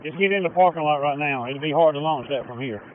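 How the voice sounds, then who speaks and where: American man, incident commander, fairly high voice, brief orders, muffled clicking radio. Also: fast talker. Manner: directive, brief instructions. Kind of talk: emergency radio dispatch traffic. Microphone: two-way emergency radio.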